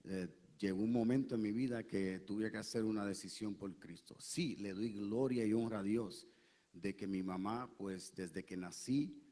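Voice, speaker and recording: A pastor gives his testimony in a church, his voice low and mellow. He speaks earnestly and haltingly, with frequent pauses and fillers. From Puerto Rico. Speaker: pastor, guest and interpreter. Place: church sanctuary.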